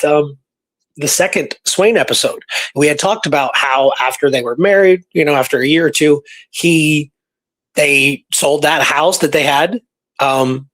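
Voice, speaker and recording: Higher-voiced man telling history casually. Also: a bit bright. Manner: conversational, speculative, often checks in with listener. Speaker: podcast host presenting historical research. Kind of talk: casual history storytelling, reading old records aloud.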